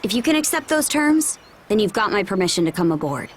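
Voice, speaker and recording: An actress playing a firm, decisive young ship captain in a video game cutscene, with a frank, commanding manner. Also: female voice, medium pitch, big pitch swings, a little fast, slight background noise, close to an everyday voice. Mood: frank, stern.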